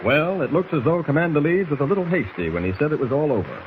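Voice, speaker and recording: A man's voice: on the high side, animated, fast, over a noisy crowd. A man narrating a 1940s radio serial in a theatrical, suspenseful way. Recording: muffled, slightly noisy 1940s radio recording.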